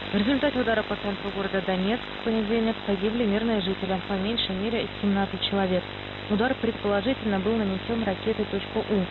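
A woman reads scripted news at a brisk pace. Her medium-pitched voice sounds thin and narrow over shortwave. Strong hiss and rumble cover the broadcast. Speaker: news presenter. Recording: phone-like shortwave radio, loud hiss.

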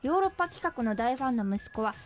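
Lady radio cohost, highish voice, chatty, bit of music behind. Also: expressive, fast talker. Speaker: radio co-host. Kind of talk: casual radio chat and letter reading.

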